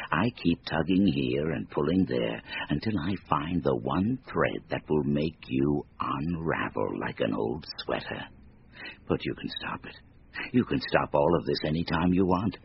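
An actor playing a sinister, gloating tempter in a radio drama, menacing, taunting and deliberate. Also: male voice, rumbling low, lively intonation, creaky, full character voice. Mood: gloating, coaxing. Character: sinister gloating tempter, supernatural tormentor stalking a man. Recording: dull lo-fi radio recording, faint noise.

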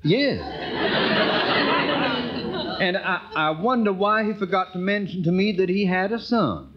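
An actor playing a proud, courtly middle-aged Southern colonel with an American Southern accent in an old radio comedy. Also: male voice, high voice, big pitch swings, a little slow, a loud crowd. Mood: polite puzzlement. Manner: pompous, genteel, easily indignant. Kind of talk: old-time radio sitcom acting. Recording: dull, noisy old radio recording.

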